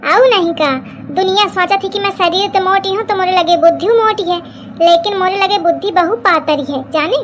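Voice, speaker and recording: A woman's voice: very high voice, normal speed, a little hiss or hum. A woman voicing a plump middle-aged village mother-in-law in an Awadhi cartoon comedy, scolding, gossipy and suspicious, with an Awadhi accent. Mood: scheming, boastful.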